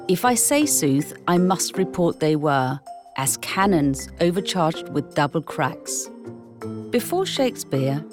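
Low-voiced Danish woman over music. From Denmark. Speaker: language segment presenter. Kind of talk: scripted narration. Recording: music bed behind voice.

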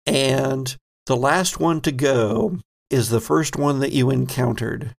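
A man narrating a tabletop horror game as game master, telling it in suspenseful, descriptive phrases with a gravelly creak. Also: mid-range voice, takes it slowly.

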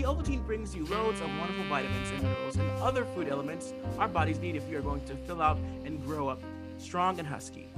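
Man doing an upbeat old-time radio announcer over music. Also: high voice. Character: earnest upbeat 1940s radio announcer selling to children.

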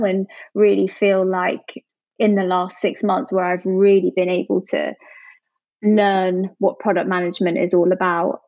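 An upbeat young woman from Jersey talks through her work as a guest, chatty and open, leaning on "actually" and "you know". Her voice sits in a middle pitch. The sound is dry and a little dull. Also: darkish tone, no room sound. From Jersey, Channel Islands. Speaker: product manager, guest. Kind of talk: conversational interview answers.

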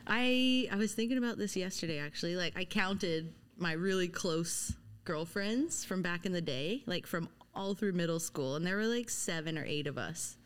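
Podcast guest: young woman from Louisiana, faint Southern lilt, lower voice, relaxed and wry. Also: normal speed, few pauses, clean tone. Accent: mild Southern US. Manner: laid-back and self-deprecating, with filler likes. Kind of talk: casual chat.

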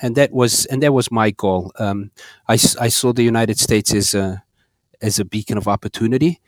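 A man's voice: lowish voice, moderate pace, dry recording, clean studio sound. A man raised in South Africa, an earnest podcast guest who often repeats words as he speaks. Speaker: guest, store operator. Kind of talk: podcast interview.

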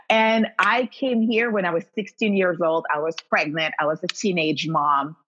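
A woman with a Haitian accent speaks in a somewhat low voice, heard through a dull, lo-fi recording. She talks casually and effusively as an interview guest, quick to affirm and encourage. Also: fairly even intonation, a bit bright, breathy edge. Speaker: guest, skincare company founder. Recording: dull lo-fi audio.

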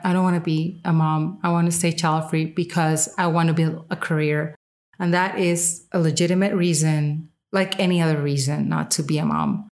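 Female podcast host with a fairly low voice, delivering frank, emphatic opinion commentary in casual speech. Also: a little mellow. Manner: emphatic, candid, with casual swearing.

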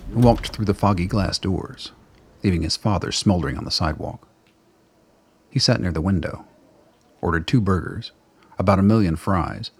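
Deep, gravelly male narrator. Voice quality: gravelly, husky. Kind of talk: dramatic scripted storytelling.

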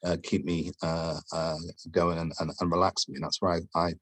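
Very deep-voiced halting man. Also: little pitch movement, a bit bright, no room sound. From England. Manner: halting, many uh fillers and restarts. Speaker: guest lecturer and entrepreneur. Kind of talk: casual interview answers.

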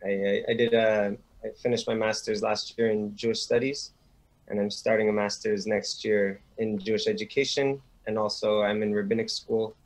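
A young man answering interview questions, halting and repeating words as he gets going. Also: fairly low pitch, little pitch movement, a little mellow, poor audio. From Sweden, raised in Montreal. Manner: hesitant, repeats words as he starts. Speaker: guest, rabbinic student.

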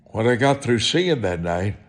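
A man preaching through personal storytelling, speaking in short, deliberate, emphatic phrases and repeating them for effect. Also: lowish voice, very animated. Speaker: preacher and author telling testimony. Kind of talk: storytelling sermon monologue.

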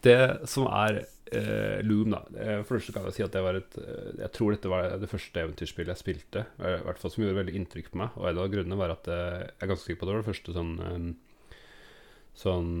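Casting: male podcast co-host with a low voice, informal explainer in conversational style. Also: fairly even intonation, creaky, darkish tone. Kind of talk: casual explanatory chat.

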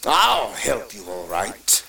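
A man playing a callous, brutal little villain in a gothic audio drama, with a gravelly, snarling, contemptuous delivery. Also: lowish voice, huge pitch swings, slow pace, exaggerated voice. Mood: sinister menace. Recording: distant from mic, crowd noise behind.

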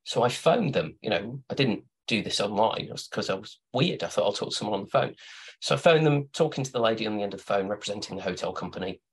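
A man with a low, husky voice hosting a relaxed podcast. He rambles through playful anecdotes, full of restarts and asides, in an easy, chatty way. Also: fast. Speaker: podcast host. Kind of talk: casual chat.

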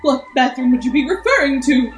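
Very high woman as a plaintive, naive wife. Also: animated, a little fast, roomy sound, soft background music. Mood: wounded. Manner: plaintive, melodramatic. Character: tearful, sweetly naive armless young wife. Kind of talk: improvised old-time radio drama parody.

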